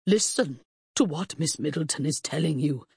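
Casting: worn-down husband, read by a female narrator, grief-heavy, pleading and exasperated, husky tone, dry storybook delivery. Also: deep voice, expressive, normal speed, a little mellow, no room sound, heavily acted. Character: weary, anguished husband pleading with his wife. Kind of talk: audiobook character acting.

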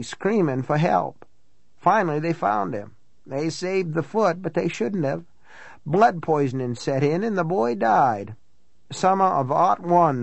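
A man's voice: on the high side, creaky, dry recording, pitched above the performer's own voice, full character voice. A man voicing an older small-town housekeeper woman from an audiobook, blunt, gossipy and matter-of-fact. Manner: folksy, matter-of-fact storytelling. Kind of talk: audiobook character dialogue.

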